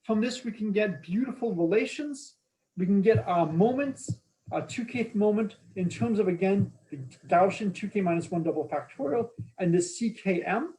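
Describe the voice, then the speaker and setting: A man's voice: high-pitched, lively intonation, moderate pace, dark tone, low-quality recording. A man with a Boston accent giving an academic math lecture, explaining slides in an informal, enthusiastic way with casual asides. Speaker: mathematics lecturer.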